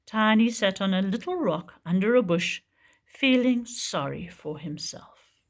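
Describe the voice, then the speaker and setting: A woman teacher reading a picture book aloud for young children in an expressive storytelling manner. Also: medium pitch, measured pace. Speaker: preschool teacher.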